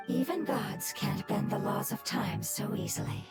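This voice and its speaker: A woman voicing a solemn, earnest, ancient nature spirit in an anime game, breathy and formal in delivery. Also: mid-range voice, huge pitch swings, a little slow, heavily acted. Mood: grave. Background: music.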